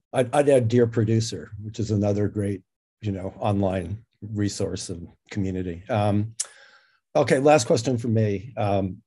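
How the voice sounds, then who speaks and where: A man's voice: medium pitch, dark tone, husky. A man moderating a conversation, putting questions in a casual interview style.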